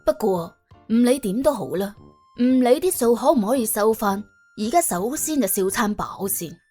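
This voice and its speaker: A woman's voice: medium pitch, animated, normal speed, slightly rough. A woman narrating a comic crime audiobook in a dramatised, colloquial storytelling style. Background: soft background music.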